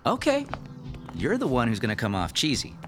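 A man's voice: mid-range voice, wildly swooping pitch, moderate pace, over music. An actor playing a flippant young ghost-hunting tech guy with a casual, wisecracking manner in a radio horror drama. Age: young adult.

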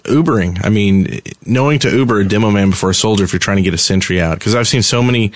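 A man's voice: fairly low pitch, quick pace, no echo. A man co-hosting a gaming podcast, talking casually about play tactics. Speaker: podcast co-host.

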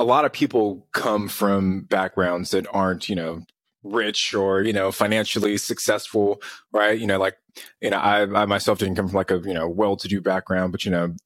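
A man's voice: lowish voice, a bit bright, dry recording, clean studio sound. A man hosting a podcast, moving between scripted sponsor reads and casual interview talk. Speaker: podcast host.

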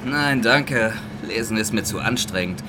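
A man's voice: lowish voice, measured pace, close to an everyday voice. A man playing a bored, lazy, grumbling train conductor in a children's audio play, sceptical and colloquial. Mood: dismissive. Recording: loud train ambience noise behind.

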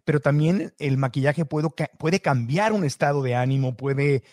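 A man hosting a podcast interview, asking quick chains of questions. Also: on the high side, a little mellow, no echo, studio quality. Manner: asks rapid chains of questions. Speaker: podcast host. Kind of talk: interview conversation.

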